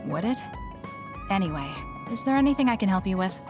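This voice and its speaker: A woman's voice: medium pitch, lively intonation, brisk, over music, close to an everyday voice. Blunt, aloof young soldier played by a woman. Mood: cool, matter-of-fact. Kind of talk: anime video game dub acting.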